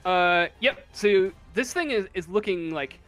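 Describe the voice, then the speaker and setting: A man narrating a tabletop game as game master in a casual, halting, improvised way. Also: sits high, animated, a bit bright, soft background music. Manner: halting, hesitant, casual delivery.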